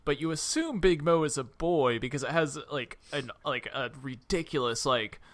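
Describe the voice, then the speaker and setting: A man's voice: on the high side, lively intonation, fairly bright tone. A man co-hosting a casual movie podcast, laughing a lot and acting out lines from the film. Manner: laughs often, acts out film lines in quick voices. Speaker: podcast co-host. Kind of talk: casual movie review chat.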